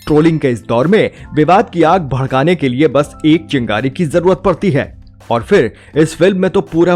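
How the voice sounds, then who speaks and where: A man's voice: fairly high pitch, a little mellow. A man narrates a scripted film review with emphatic, rhetorical phrasing. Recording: music bed under the voice. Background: background music.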